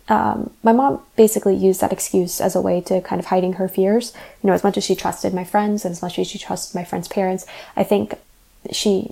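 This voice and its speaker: A young woman who grew up in the United States, a college student telling her own story in a measured, thoughtful way. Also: medium pitch, vocal fry, mellow. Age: young adult. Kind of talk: reflective personal narration.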